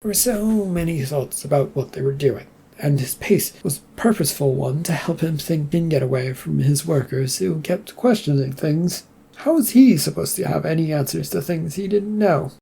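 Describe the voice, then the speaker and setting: A reader narrating a story in a plain, even manner. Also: medium pitch, moderate pace, gravelly, slightly rough. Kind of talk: reading fiction aloud.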